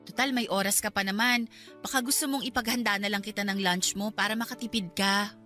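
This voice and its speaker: A woman's voice: medium pitch, steady tone, fairly quick, bright, forceful tone, soft background music, close to an everyday voice. A woman playing a hurt, jealous wife in a Tagalog radio drama, nagging and pleading with emotion. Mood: caring, casual. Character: hurt, jealous young wife confronting her husband.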